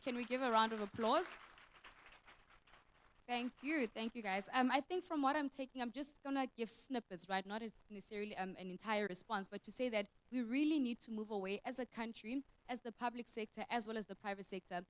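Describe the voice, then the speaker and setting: A woman moderating a lecture Q&A in a friendly, brisk, joking way. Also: on the high side, rough recording.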